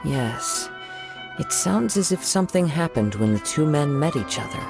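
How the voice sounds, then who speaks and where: A man voicing a calm young traveler in a video game, politely questioning people. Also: a little high, moderate pace, gravelly, over music. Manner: polite, steady. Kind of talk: game voice acting.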